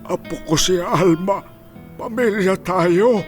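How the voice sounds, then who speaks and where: A man with a Filipino accent playing a frail, gentle, pleading old grandfather in a radio drama. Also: sits high, slow pace, faint music behind. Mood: pleading, weary.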